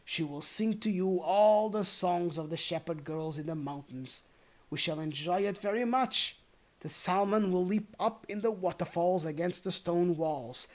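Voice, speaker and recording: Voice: mid-range voice, natural voice. A storybook reader voicing a jovial, boastful old male goblin patriarch. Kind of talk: storybook character voice.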